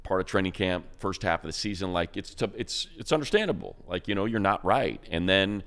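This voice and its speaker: A man's voice: low voice, lively intonation, a little fast, fairly bright tone, clean tone. A man, a radio play-by-play announcer, giving casual, rambling football analysis with plenty of fillers. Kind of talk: casual sports talk.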